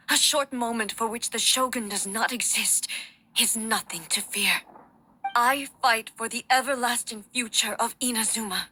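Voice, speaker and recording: A woman playing a solemn, resolute female deity-warrior ruler in a game, speaking formally in measured, stately phrases. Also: a little high, bright, forceful tone, breathy edge, full character voice. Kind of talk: scripted dramatic game dialogue.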